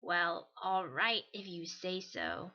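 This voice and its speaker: A woman's voice: lowish voice, big pitch swings, slow, sharp tone, dull audio, exaggerated voice. A woman voicing an upbeat, brash but caring female pegasus pony for cartoon fan fiction, with a casual, breezy manner. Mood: easygoing.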